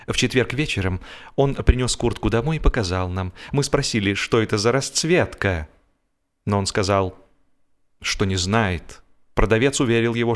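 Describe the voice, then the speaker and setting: A man narrating a humorous story expressively, with a wry, theatrical manner and deliberate pauses. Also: lowish voice. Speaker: audiobook narrator.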